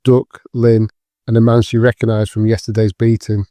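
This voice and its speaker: A man's voice: fairly low pitch, little pitch movement, moderate pace, warm tone, dry recording, clean studio sound. A man reading scripted narration for an audio drama.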